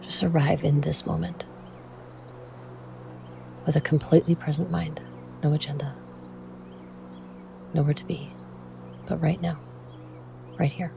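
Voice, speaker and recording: A meditation guide speaking in a soothing, measured way with frequent pauses and a soft, at times breathy voice. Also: medium pitch, flat delivery, background noise.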